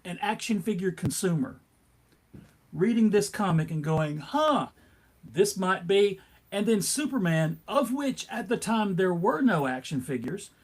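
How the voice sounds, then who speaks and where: A man giving casual fan commentary as a panelist, wry and a little halting, with comic asides. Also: sits high, animated. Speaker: panelist at a fan convention discussion.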